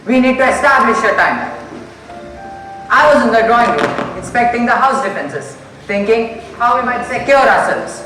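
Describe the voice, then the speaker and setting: A man's voice: extremely high pitch, moderate pace. A man acting a sceptical investigator in a stage play, formal and probing. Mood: businesslike. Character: brisk, sceptical investigator demanding facts. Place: school hall stage. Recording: distant echoey hall, faint music.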